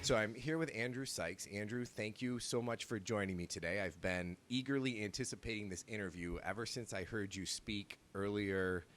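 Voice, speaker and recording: A man's voice: lowish voice, moderate pace, few pauses, a bit bright, soft background music. A man hosting a podcast, introducing his guest in a friendly way with some filler hesitations. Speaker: podcast host. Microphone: video call.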